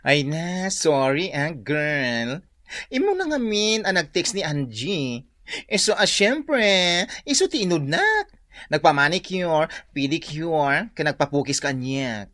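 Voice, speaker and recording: A woman's voice: sits low, sing-song, slow, fairly bright tone, no room sound, studio quality, full character voice. Woman acting a swooping, breezy Ilocano beautician. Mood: placating. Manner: exclamatory, theatrical. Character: breezy, gossipy, placating female beautician. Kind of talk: radio drama acting.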